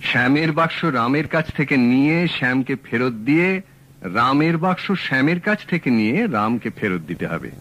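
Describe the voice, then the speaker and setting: An actor playing a calm, shrewd male detective in a radio drama, talking in a measured, dry, matter-of-fact way. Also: medium pitch, dull audio. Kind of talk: 1970s radio drama acting.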